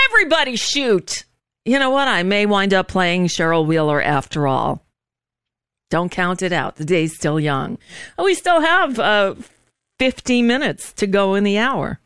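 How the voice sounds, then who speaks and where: A woman hosts an informal live political talk show. Her voice is clear and medium in pitch, in a dry room. She rambles and comments bluntly, with a sardonic edge. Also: lively intonation, normal speed, a bit bright, no room sound.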